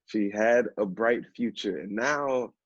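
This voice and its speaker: An earnest, emotional man played by an actor in a stage drama, with a husky, lowish voice. He speaks haltingly, in a dull, lo-fi recording. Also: no room sound. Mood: somber, wistful. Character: earnest, emotional man who knows addiction firsthand. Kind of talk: stage play acting.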